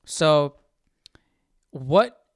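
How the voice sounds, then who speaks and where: A man hosting a live Bible study with casual, animated commentary, thinking aloud in halting bursts. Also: fairly high pitch, noisy background.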